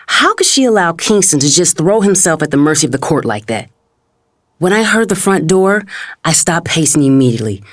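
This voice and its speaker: An actress playing an indignant, protective middle-aged mother, narrating a dramatized audiobook in first person. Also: sits low. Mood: indignant, worried.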